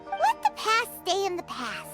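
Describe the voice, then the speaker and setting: A woman voicing a small, childlike fairy companion in an anime video game, squeaky, bubbly and easily annoyed. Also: a little slow, heavily acted. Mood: encouraging. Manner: bubbly, expressive, speaks of self in third person. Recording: music behind the voice.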